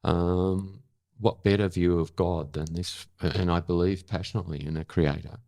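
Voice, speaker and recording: A deep, low-pitched older man talks in a reflective interview. He feels his way through sentences, pausing thoughtfully to find the right word. The sound is dry and close. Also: fairly even intonation, gravelly, warm tone, no echo. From Australia. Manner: halting, thoughtful, searching for words. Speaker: interview guest. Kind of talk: reflective long-form conversation.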